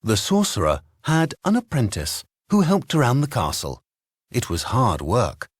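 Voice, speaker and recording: A man narrating a scripted children's story in an expressive, dramatic storytelling manner. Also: mid-range voice, a little slow, husky, no room sound, studio quality.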